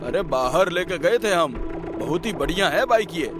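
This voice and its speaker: A man playing a chatty, folksy property broker, effusive and eager to please in a comic animated story style. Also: a little high, normal speed, noisy background, close to an everyday voice. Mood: excited, welcoming. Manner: effusive, colloquial, ingratiating.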